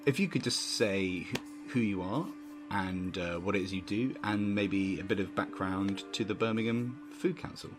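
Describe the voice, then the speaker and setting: A man's voice: lowish voice, normal speed, over music. A man hosting an interview podcast, asking conversational, loosely phrased questions. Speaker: podcast host.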